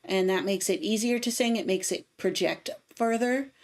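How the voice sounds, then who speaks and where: A woman's voice: medium pitch, normal speed. A woman in her thirties with a light Midwestern accent, chatting casually on a home livestream, laughing often and explaining singing technique. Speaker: streamer and cover singer. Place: home room, indoors.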